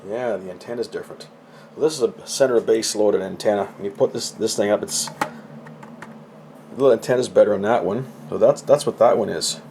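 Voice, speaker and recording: A man's voice: lowish voice, fairly quick, a little mellow, slight background noise. A middle-aged man giving a casual, rambling, folksy show-and-tell of his gadgets, with a self-deprecating way of talking. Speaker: hobbyist presenter.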